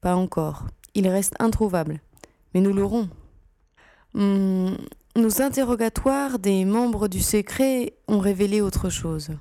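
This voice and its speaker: A woman voices a serious security officer in an audio drama. Her voice is medium in pitch, mellow and recorded dry. She reports in a deliberate, sober way. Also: no echo. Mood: composed.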